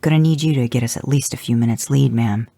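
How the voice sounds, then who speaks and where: A reader voicing Dean, a gruff male monster hunter who gives curt orders with dry sarcasm, in an audio fanfic reading. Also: mid-range voice, fast talker, warm tone, no room sound.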